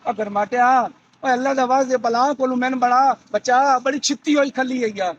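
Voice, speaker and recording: A man acts out a cheating husband in an amateur comic skit. He is a cowardly sweet-talker who gushes and pleads. Clear noise runs through the recording. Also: extremely high pitch, steady tone, moderate pace, highs cut off. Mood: panicked pleading. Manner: gushing, pleading, exclamatory. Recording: noisy amateur location recording.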